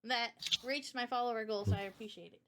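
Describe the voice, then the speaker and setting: A woman streamer hosting a casual live chat, talking in an animated, opinionated way with rambling asides. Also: fairly high pitch, quick pace. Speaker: streamer and host.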